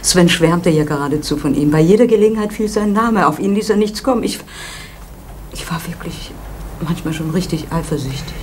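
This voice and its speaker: A woman's voice: fairly low pitch, expressive, brisk, a little mellow, natural voice. A woman as a gushing, protective mother in an old hissy radio play. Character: doting middle-aged mother, Frau Seidel. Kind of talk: 1980s East German radio crime drama.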